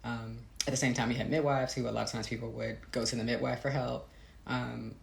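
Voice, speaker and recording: A woman's voice: extremely low pitch, flat delivery, a little fast, gravelly, roomy sound. A woman author giving reflective, conversational interview answers in a thoughtful, hesitant manner. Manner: thoughtful, hesitant, often laughing lightly. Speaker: guest author.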